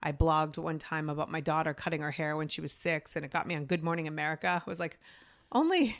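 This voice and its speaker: A woman in her forties, a podcast guest, telling her career story in a chatty, animated way and acting out what others said to her. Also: deep voice, fast talker, dry recording. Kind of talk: conversational interview storytelling.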